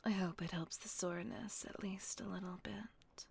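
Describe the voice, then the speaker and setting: A woman playing a kind, refined vampire mistress in an intimate roleplay audio drama, speaking in a gentle, soothing, husky near-whisper. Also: medium pitch, fairly even intonation, slight background noise, close to an everyday voice. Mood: soft, comforting. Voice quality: breathy, husky near-whisper with creaky edge. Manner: gentle, genteel, unhurried, soothing.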